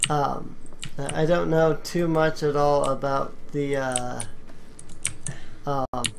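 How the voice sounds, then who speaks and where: A game player hosting a casual let's play, talking in a hesitant, halting way with a co-player. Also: medium pitch, little pitch movement, creaky, a little hiss or hum. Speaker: host of a gaming video, player.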